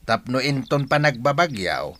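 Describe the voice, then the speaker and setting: A man's voice: mid-range voice, very animated, moderate pace, fairly bright tone. An actor playing a brash man in an Ilocano radio drama about village family quarrels. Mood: scolding.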